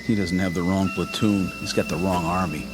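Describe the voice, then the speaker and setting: Man acting a slouching, low-voiced army private. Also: fairly even intonation, brisk, noisy background, natural voice. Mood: dry, insolent. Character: tired, slouching army private, mildly insolent. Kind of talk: radio drama acting. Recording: dramatic music under the dialogue.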